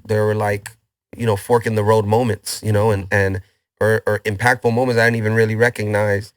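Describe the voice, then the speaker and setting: Laid-back rapper from LA. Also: male voice, fairly low pitch, flat delivery, a little fast, no echo, clean studio sound. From Los Angeles, Boyle Heights. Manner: laid-back street slang, many restarts. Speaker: rapper guest. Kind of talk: casual interview chat.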